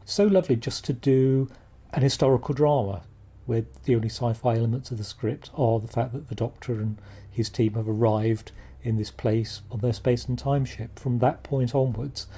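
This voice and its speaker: A British producer speaks in an interview with heartfelt enthusiasm. His voice is mellow and breathy, at an average pitch for a man. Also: normal speed. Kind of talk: interview talk.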